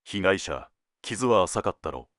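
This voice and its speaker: Senior male detective, played by an actor: deep, animated pitch, laconic dry humor, crime drama, close dry sound. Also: no echo. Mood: dry, teasing. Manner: laconic, dry joking, deliberate phrasing. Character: senior male detective, wry, teasing, world-weary. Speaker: police detective at headquarters. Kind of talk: scripted detective audio drama.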